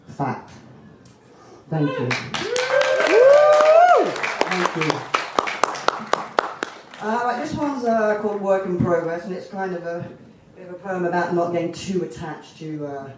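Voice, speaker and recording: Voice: medium pitch, background noise. A spoken word poet in their thirties, born in Fiji and raised in Jamaica, performing poems at a stand mic in a festival tent with rhythmic, emphatic delivery. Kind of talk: performance poetry on stage. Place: festival tent stage, outdoors. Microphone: stand microphone, filmed from the audience. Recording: echoey, from far off.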